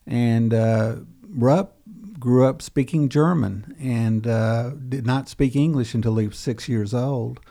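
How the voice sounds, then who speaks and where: An older history professor, interviewed as a guest, telling a story unhurriedly with many pauses and fillers. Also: male voice, medium pitch, gravelly, dark tone.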